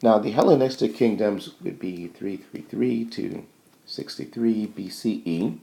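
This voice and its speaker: A man lecturing informally in a halting, think-aloud way, often checking in with short tags as he explains. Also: on the low side, creaky, warm tone.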